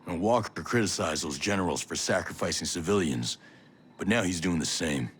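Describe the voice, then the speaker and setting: An actor playing a steady, measured special forces soldier. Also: male voice, sits low, a little hiss or hum. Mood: calm. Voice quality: calm, measured. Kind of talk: video game cutscene acting.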